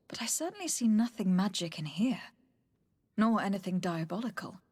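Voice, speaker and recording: A woman's voice: medium pitch, big pitch swings, moderate pace, darkish tone, husky, no room sound. A noble young game heroine, composed and resolute. Her mid-range voice is recorded dry, and she speaks formally with poised, articulate phrasing. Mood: composed, skeptical. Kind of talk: video game voice acting.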